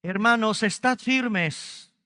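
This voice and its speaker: Mexican pastor guy preaching, high voice, slow and emphatic, long church echo. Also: pushed and bright, clean tone. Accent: Mexican Spanish. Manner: emphatic preaching, stretches key words, addresses congregation directly. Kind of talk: sermon. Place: church service.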